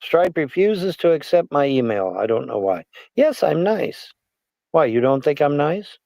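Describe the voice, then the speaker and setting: A man's voice: fairly high pitch, very animated, a little slow, vocal fry, mellow, no room sound. A man in his sixties, rambling and self-deprecating as he hosts a casual livestream chat. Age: 60s. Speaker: livestream host.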